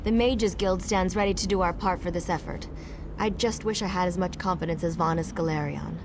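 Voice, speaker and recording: An actress playing an earnest, worried mage commander in a video game, serious and confiding. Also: female voice, on the low side, a little fast, background noise, lightly acted. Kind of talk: video game character acting.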